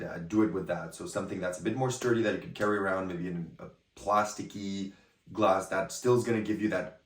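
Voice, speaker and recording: A man with a hoarse, partly lost voice, giving a chatty, rambling review of a magic trick and often checking in with the viewer. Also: lowish voice. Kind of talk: casual product review to camera. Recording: echoey room, a bit far from the mic.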